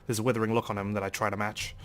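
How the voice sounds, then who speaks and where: A man's voice: fairly low pitch, little pitch movement, rapid-fire, a bit bright. A man reading a visual novel aloud as a let's play host, in a dramatic first-person narration style. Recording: music under the voice.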